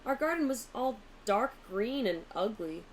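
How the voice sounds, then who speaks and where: A woman narrating a children's storybook aloud in an expressive, deliberate way. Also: fairly high pitch, slight background noise. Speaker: teacher reading a picture book aloud.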